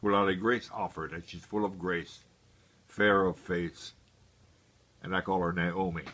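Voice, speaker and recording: A man in his seventies from Hawaii reminiscing about family in an unscripted interview, halting and deliberate with long pauses. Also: low voice, steady tone, fairly bright tone. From Hawaii, United States. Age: 70s. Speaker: interviewee, grandfather.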